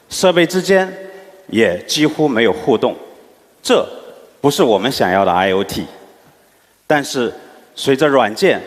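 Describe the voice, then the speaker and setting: A company executive, a man, giving an emphatic product launch speech. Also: a little high. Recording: reverberant launch hall.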